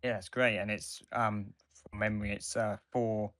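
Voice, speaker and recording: A man's voice: fairly low pitch, level tone, moderate pace, fairly bright tone, dry recording, clean studio sound. A man co-hosting a podcast in casual conversation, agreeable and a little hesitant. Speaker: podcast co-host.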